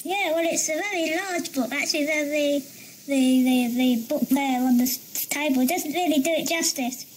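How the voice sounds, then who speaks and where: A woman in a casual conversation, asking questions as a guest with halting, hesitant phrasing. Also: high voice, a little hiss or hum.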